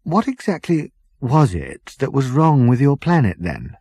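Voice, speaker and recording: A man's voice: medium pitch, wildly swooping pitch, a little slow, mellow, husky, dry recording, studio quality, voice pushed up, exaggerated voice. A man voicing a flustered, incredulous, mildly indignant everyman in a comic science fiction audiobook. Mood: puzzled. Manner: flustered, disbelieving questions.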